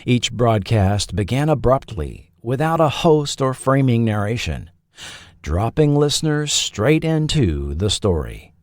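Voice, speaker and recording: Male host-narrator for scripted documentary: dramatic, unhurried delivery, lively intonation, dry close sound. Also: mid-range voice, no echo. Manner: dramatic, deliberate storytelling.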